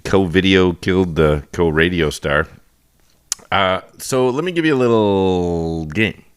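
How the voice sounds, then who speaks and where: A man's voice: low-pitched, slow pace, clean tone, dry recording. A man co-hosting a podcast in casual, dryly teasing banter while getting over a cold. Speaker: podcast co-host.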